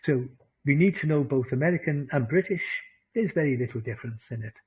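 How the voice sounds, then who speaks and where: A man with a Welsh accent teaching an online English class, animated, laughing often and repeating phrases for emphasis. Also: mid-range voice, fairly quick, breathy edge, highs cut off. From Wales.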